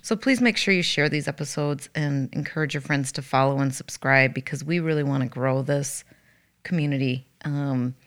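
Low-voiced, slightly raspy woman. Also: moderate pace. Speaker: podcast co-host, hospice worker. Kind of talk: conversational podcast talk.

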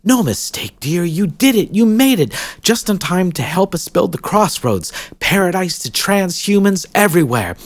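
A man voicing a gushing, welcoming woman who leads a hidden paradise, with a lively, sing-song delivery. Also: on the low side, moderate pace, few pauses, no echo, voice pushed up. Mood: flustered. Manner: effusive, gushing.

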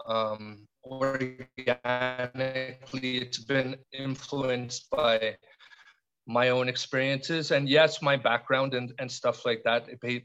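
A middle-aged man from Canada, a podcast guest speaking in a reflective, halting way with frequent restarts and fillers. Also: medium pitch, a bit bright, poor audio. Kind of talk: reflective conversational interview.

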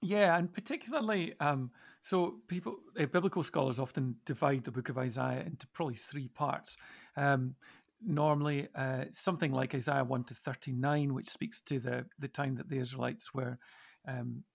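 A man's voice: mid-range voice, normal speed, creaky. A man speaking as a church minister on a podcast panel, reflecting casually and hesitantly as he thinks aloud.

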